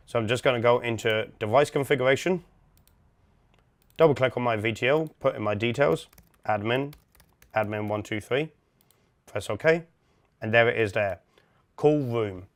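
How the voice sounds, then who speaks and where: A man's voice: medium pitch, moderate pace, pauses often. Male tutorial presenter, methodical and informal, explains each step plainly. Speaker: instructor, product demonstrator. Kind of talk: software setup tutorial, step-by-step walkthrough.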